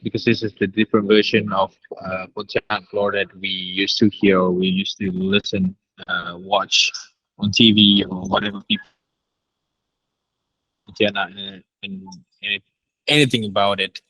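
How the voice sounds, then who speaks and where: Director: male, low-ish voice, hesitant rephrasing, casual talk, very little room sound. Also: level tone, fairly bright tone, dry recording, lo-fi sound. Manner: halting, repeats and rephrases. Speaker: audio drama director. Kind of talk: casual discussion.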